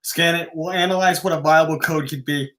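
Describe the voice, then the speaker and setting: Man reading as stern commander, bright forceful voice. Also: a little high, steady tone, a little fast. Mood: commanding. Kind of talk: table-read acting.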